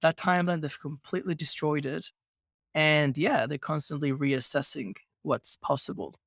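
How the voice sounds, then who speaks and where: A man's voice: on the high side, normal speed, a bit bright, dry recording, clean studio sound. A man hosting a podcast interview, talking through his ideas and building his points with rhetorical questions. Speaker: podcast host. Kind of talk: casual podcast conversation.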